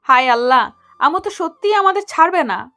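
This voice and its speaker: Woman as shy, high-voiced young wife. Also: sing-song, fairly quick, sharp tone, full character voice. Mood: bashful protest. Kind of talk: romantic audio story acting.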